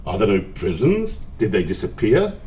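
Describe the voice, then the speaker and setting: A man's voice: fairly low pitch, huge pitch swings, moderate pace, mellow, slight background noise. A man acting a miserly, grumpy old businessman in an audio play, curt, scornful and dismissive.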